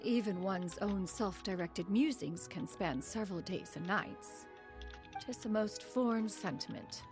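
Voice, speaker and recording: A woman's voice: mid-range voice, lively intonation, measured pace, over music. A woman voicing an ancient, proud female crane spirit in a game cutscene, speaking in an archaic, formal way and calling herself "one". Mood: lofty.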